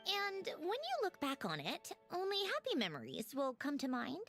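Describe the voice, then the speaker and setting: Aloof, grumbling young woman for a video game, high register, highly animated, dryly questioning. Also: moderate pace, loud music behind the voice, heavily acted. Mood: pensive. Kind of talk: scripted video game dialogue.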